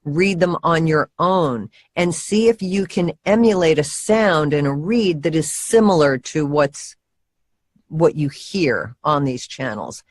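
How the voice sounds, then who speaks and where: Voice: medium pitch, animated, unhurried, no echo. A voiceover coach giving casual, earnest advice, leaning on key words for emphasis.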